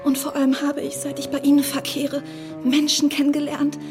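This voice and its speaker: A woman's voice: high voice, completely flat delivery, fairly quick, over music, close to an everyday voice. An actress playing a naive, wavering young woman eager to please in a period radio drama, hesitant, breathless and girlish. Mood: flustered, devoted.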